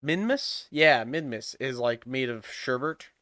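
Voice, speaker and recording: A man's voice: mid-range voice, expressive, unhurried, pushed and bright, no room sound, clean studio sound. A young man hosting a game livestream, chatting casually and thinking aloud with lots of fillers, his nose stuffed up and sniffling. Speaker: livestream host. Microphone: desk microphone.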